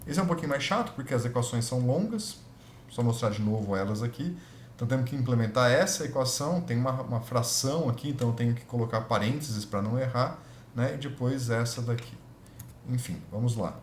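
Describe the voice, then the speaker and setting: A man with a Brazilian Portuguese accent, a physics lab instructor talking through a spreadsheet tutorial, thinking aloud and correcting himself as he goes. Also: medium pitch. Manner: thinks aloud, self-correcting, checks in with students. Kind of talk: screen-recorded spreadsheet tutorial. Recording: echoey room with faint noise.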